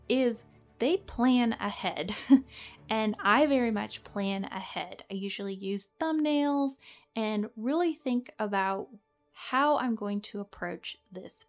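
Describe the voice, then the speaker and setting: A young woman with a slightly nasal, stuffy voice from a cold, giving a friendly, chatty watercolor tutorial as a voice-over. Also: on the high side. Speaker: watercolor art teacher. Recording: voice-over with soft background music.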